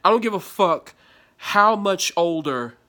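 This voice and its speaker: Male vlogger, slightly high voice, congested, blunt and profane opinion delivery. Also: animated, a little slow, sharp tone. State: stuffy with allergies. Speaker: sports commentary vlogger. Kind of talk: casual opinionated commentary reading an article.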